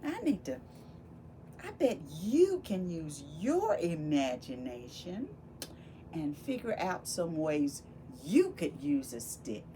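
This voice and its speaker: Lady doing storytime for little kids, unhurried and very expressive, mellow voice, some noise behind her. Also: fairly low pitch. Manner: animated, exclaiming with gasps and wonder, addressing young children. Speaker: volunteer children's read-aloud storyteller. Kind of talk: expressive picture-book read-aloud with questions to children.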